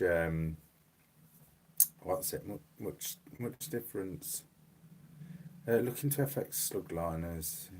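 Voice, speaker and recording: A man hosting a casual livestream from his phone, hoarse from losing his voice, rambling and repeating words as he reads comments. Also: sits low, slight background noise. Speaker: livestream host. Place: small home room. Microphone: mobile phone.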